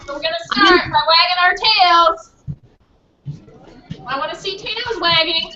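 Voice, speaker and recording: Very high, slow-paced woman leading children. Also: pushed and bright. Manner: playful, encouraging. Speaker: children's author leading a group activity. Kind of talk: leading a playful children's movement song. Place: remote video call. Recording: dull, lo-fi video call with noise.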